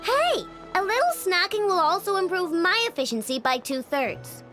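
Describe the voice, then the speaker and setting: Cheeky young girl inventor, girlish very high voice, lively lilt, breezy, self-assured, game acting. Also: moderate pace, over music. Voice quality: childlike, girlish. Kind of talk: animated video game voice acting.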